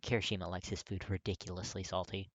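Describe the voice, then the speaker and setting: A narrator reading fiction aloud in an expressive, emphatic audiobook style. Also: sits low, fast talker, no echo. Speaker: podfic narrator.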